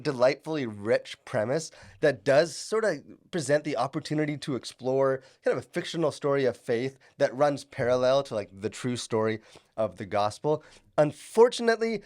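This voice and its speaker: A man who is sick and has lost his voice, chatting casually with many fillers and restarts as he reviews a film for his channel. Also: a little high.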